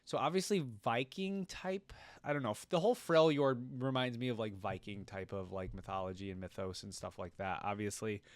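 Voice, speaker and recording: A man's voice: fairly low pitch, fairly quick, no room sound. Young man chatting casually, dry close sound. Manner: informal, musing aloud. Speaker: reaction video host. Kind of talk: casual commentary, reading and reacting aloud.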